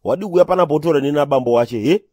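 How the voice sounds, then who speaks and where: A man's voice: a little high, racing, dark tone, no echo, close to an everyday voice. A man voicing a suspicious rural Zimbabwean father, scolding and questioning in a comedy cartoon. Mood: scolding, aggrieved.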